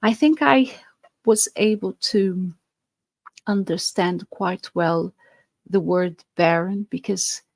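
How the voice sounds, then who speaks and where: A woman's voice: fairly low pitch, takes it slowly, gravelly, dry recording. A woman with a Portuguese accent, Portuguese her first language, hosting a podcast and explaining earnestly with some fillers and restarts. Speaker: podcast host. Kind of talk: podcast monologue.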